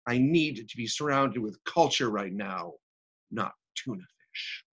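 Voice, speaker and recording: A man's voice: medium pitch, expressive, measured pace, fairly bright tone, husky, dull audio. A man playing a frazzled, indignant woman in her thirties. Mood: indignant, frantic. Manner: exasperated, rambling, emphatic. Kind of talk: theatrical comic monologue reading.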